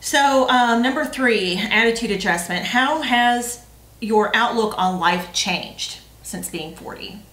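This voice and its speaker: A woman's voice: fairly high pitch, expressive, slow, a bit bright. A woman in her forties chatting casually as a vlog co-host, frank and emphatic in the way she talks. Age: 40s. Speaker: vlog co-host reading questions. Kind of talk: casual chat. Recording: roomy, echoey sound.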